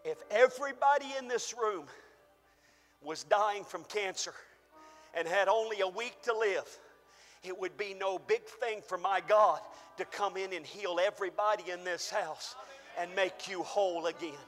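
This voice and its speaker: A pastor preaching a fervent sermon, calling out and shouting to his church, and losing his voice. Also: high-pitched, normal speed, big-room sound, faint music behind. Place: church hall.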